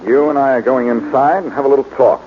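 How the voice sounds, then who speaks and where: An actor playing a brash, quick-witted, confrontational adventurer sleuth in a 1940s radio drama. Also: male voice, medium pitch, close to an everyday voice. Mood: accusing. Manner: wry, confrontational, punchy. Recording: dull, noisy 1940s radio recording.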